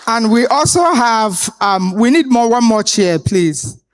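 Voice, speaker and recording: A woman's voice: on the high side, expressive, slight background noise, rough recording. Woman moderating a conference panel. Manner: deliberate, authoritative delivery with pauses. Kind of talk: introducing panelists at a live conference. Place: conference hall stage.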